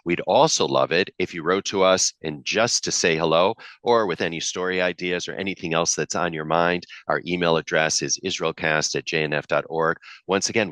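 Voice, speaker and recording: A man's voice: low voice, a bit bright, no room sound. A man hosting a podcast, reading a polished, upbeat intro and then interviewing a guest. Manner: polished, upbeat announcer delivery. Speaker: podcast host.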